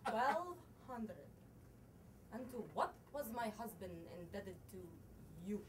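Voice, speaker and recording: A woman acts out a proud young widow in a stage play, high-voiced and imperious. She speaks in grand, mournful, theatrical tones, picked up from far off with some noise around her. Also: sing-song, slow. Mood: haughty, firm. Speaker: student actor. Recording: distant stage recording with noise.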